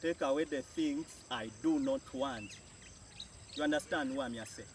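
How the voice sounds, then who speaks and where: A man's voice: mid-range voice, normal speed, darkish tone. A man with a Jamaican accent giving a spoken language lesson, saying each phrase and then translating it, often repeating. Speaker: language teacher. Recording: distant mic with faint outdoor noise.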